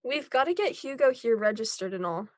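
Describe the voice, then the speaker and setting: Woman reading a composed young scientist, welcoming, higher voice, dry recording. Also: animated, brisk, no echo, close to an everyday voice. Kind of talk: table read of a scripted pilot.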